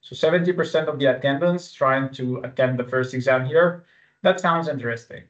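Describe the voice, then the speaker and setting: A man who grew up speaking Arabic leads an online exam-prep class. He talks informally and haltingly, restarting as he goes, at an ordinary middle male pitch. The sound is slightly dull and lo-fi, as webinar audio often is. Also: fairly bright tone. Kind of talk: webinar teaching with live quiz.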